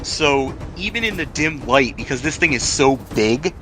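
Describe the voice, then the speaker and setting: A man's voice: medium pitch, animated, moderate pace, music in the background. A man running a tabletop role-playing game as game master, talking informally with the odd swear word.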